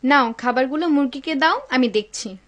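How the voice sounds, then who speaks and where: A woman's voice: high-pitched, normal speed, fairly bright tone, slight background noise. A woman playing a devout, caring, cautious wife and mother in a cartoon. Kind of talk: dubbed cartoon acting.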